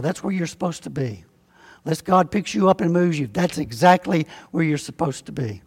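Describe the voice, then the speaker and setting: Man with a southern accent, pastor, folksy sermon, higher voice, husky edge, long hall echo. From Alabama, US. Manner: folksy, conversational preaching with slow emphatic spelling-out.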